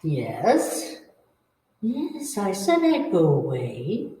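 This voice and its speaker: A woman playing a menacing, bloodthirsty old female vampire in old-time radio horror style, with commanding, drawn-out phrasing. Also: fairly low pitch, huge pitch swings, warm tone, a little hiss or hum, heavily acted. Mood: menacing, hungry. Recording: echoey hall, far from the mic.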